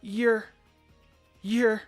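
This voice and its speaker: Man as a spiteful teen girl, raised pitch, haughty, storybook bully, music underneath. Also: flat delivery, very slow. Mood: terrified.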